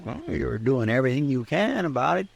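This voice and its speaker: An actor playing a loyal frontier deputy sidekick with an American accent and folksy, ungrammatical talk in a radio western. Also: male voice, medium pitch, sing-song, a little fast, creaky. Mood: helpful, matter-of-fact. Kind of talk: old time radio western acting.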